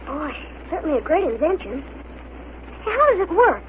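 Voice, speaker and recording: A performer playing an eager, wide-eyed, curious boy sidekick with an excitable, earnest manner, in 1930s radio adventure serial style. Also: high-pitched, moderate pace. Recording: noisy, narrow-band 1930s radio recording.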